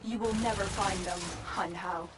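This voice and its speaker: A woman playing a composed, watchful mission guide in a video game, with a measured, serious delivery. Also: mid-range voice, fairly even intonation, very noisy, lightly acted. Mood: troubled, defiant.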